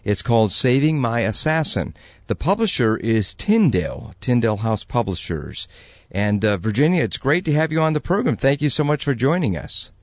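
A man's voice: mid-range voice, lively intonation, moderate pace, no room sound. Man hosting a radio interview, dry studio sound. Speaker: radio host.

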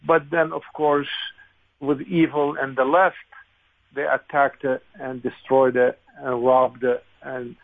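Over a thin, phone-like line comes an older Lebanese-accented man in his sixties. His voice is gravelly and creaky at a middling pitch, and he answers conversationally, halting often as he searches for the next phrase. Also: level tone. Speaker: interview guest, congressional candidate. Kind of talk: conversational interview, recollection. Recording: narrow, tinny phone-like line.

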